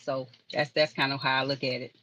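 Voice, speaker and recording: A woman's voice: extremely low pitch, flat delivery, a little fast, sharp tone, no room sound, rough recording. A woman hosting a casual live-stream chat, who calls people honey and baby. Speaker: host.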